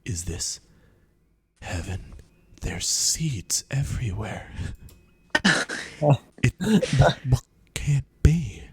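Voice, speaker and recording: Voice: medium pitch, sing-song, very slow, long pauses, a little mellow, slightly rough, dry recording, pitched below the performer's own voice, full character voice. Someone voicing a squawking, dying bird. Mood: dazed. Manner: breaks into squawks and clucks mid-sentence. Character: dying bird, shot, breathless and gasping. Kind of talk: reading a game's narration aloud.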